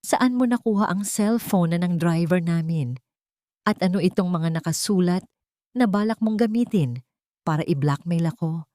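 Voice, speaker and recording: A woman's voice: fairly low pitch, normal speed, dark tone, no echo, studio quality, close to an everyday voice. Woman putting on a taunting Filipina villainess. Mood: accusing. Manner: taunting, accusatory. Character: triumphant, scheming female villain accusing others.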